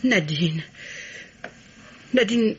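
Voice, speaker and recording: A woman's voice: mid-range voice, animated, drawn-out words, husky, exaggerated voice. An actress playing a flustered, disapproving middle-aged mother in a 1950s French radio mystery. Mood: flustered, reproachful. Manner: fretful, protesting. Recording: muffled, slightly noisy vintage radio recording.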